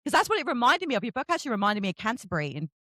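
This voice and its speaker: A woman's voice: fairly high pitch, sing-song, very fast, a bit bright, dry recording, clean studio sound. A woman from Kent, chatting casually and effusively as she hosts an interview, often joking. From Kent, England. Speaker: book podcast host interviewing an author.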